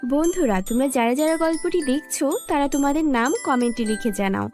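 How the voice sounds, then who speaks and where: A woman's voice: high-pitched, a little fast, darkish tone, soft background music. A woman narrating a scripted children's fairy tale as storyteller.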